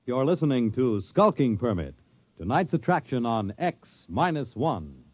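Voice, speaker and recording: A man's voice: mid-range voice, big pitch swings, a little slow, over music. A man reading scripted announcements in the formal style of a 1950s radio announcer. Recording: dull, lo-fi vintage radio recording.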